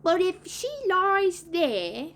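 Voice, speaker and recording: A woman voicing an earnest, excitable little boy in a storybook, with emphatic, drawn-out childlike speech. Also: very high voice, pitched above the performer's own voice, full character voice. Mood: worried. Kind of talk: storybook read-aloud character voices.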